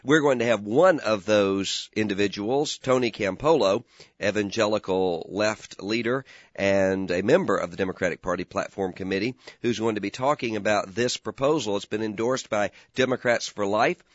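A man hosting a political talk radio show, hoarse and gravelly today, earnest and opinionated, sometimes repeating words as he starts a thought. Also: on the low side, normal speed, dry recording, muffled recording.

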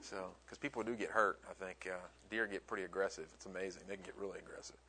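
A middle-aged Southern-raised man leads a classroom session in a bright, forward voice. He talks in a loose, friendly way and drifts into side stories with his students. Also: low voice, fairly even intonation, fast. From American South. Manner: chatty, friendly, rambling asides. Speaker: instructor. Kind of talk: classroom talk.

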